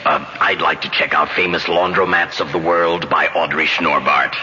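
A man's voice: extremely low pitch, fairly even intonation, normal speed. An actor playing a genial, hesitant man on a diet in a comic 1970s radio commercial. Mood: genial, eager. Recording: muffled, noisy 1970s radio broadcast.

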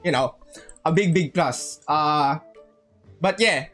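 A man with a high voice hosts a casual gaming livestream. He talks in an excitable, slangy manner and often doubles up words and phrases. Music plays softly behind him. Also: slow pace.

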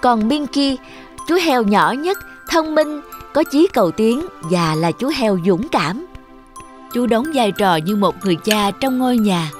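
A woman's voice: a little high, animated, a little slow. A woman narrating a scripted children's story as a storyteller. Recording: children's music behind narration. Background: jingly children's music.

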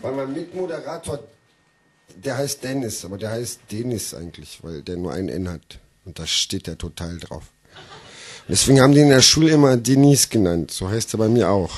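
A man's voice: on the low side, big pitch swings, brisk, breathy edge. A man performing comic slam poetry live on stage into a microphone, with a theatrical, emphatic delivery.

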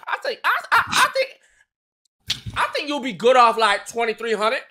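A young man chatting casually on a stream, giving relaxed advice. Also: extremely high pitch, moderate pace. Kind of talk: casual chat.

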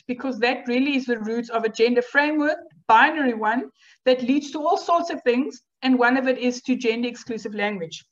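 A woman whose first language is Afrikaans, giving a university lecture in a measured, explanatory way with rhetorical questions. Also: a little high. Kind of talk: academic lecture over slides. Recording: dull lo-fi online lecture audio.

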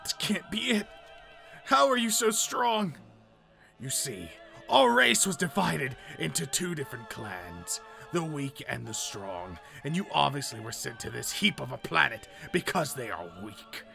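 Anime roleplay villain: menacing alien warrior male actor, forceful, slow, taunting and contemptuous. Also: fairly high pitch, sing-song, gravelly, soft background music, heavily acted. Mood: furious, boastful. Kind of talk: amateur anime game roleplay acting.

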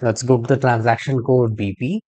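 A man talks through a software tutorial at a middling pitch. He explains each step in order, as a trainer would, and spells out codes as he goes. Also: steady tone, normal speed. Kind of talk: screen-share tutorial narration.

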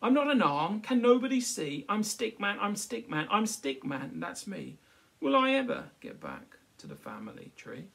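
Man playing an indignant little stick man, high-pitched. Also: huge pitch swings, normal speed, creaky, fairly bright tone, exaggerated voice. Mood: pleading. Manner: exclaiming in rhyming protest.

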